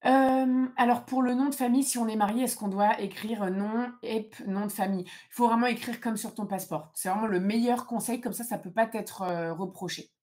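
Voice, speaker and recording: A woman's voice: on the high side, steady tone, moderate pace, hardly any pauses, mellow. A woman hosting an informal live question-and-answer session, explaining things in a direct, emphatic way. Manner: emphatic, direct, advising listeners.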